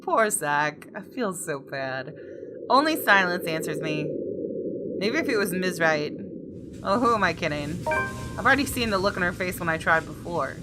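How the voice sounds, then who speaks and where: A woman's voice: lowish voice, animated, moderate pace, music in the background. A woman hosting a gaming video, reading a visual novel aloud expressively and adding casual asides.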